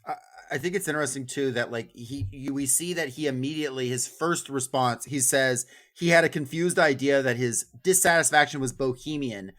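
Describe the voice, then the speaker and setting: A man's voice: medium pitch, fairly quick, fairly bright tone. A man on a podcast panel chatting casually about a book in a wry, informal way with loosely strung phrases.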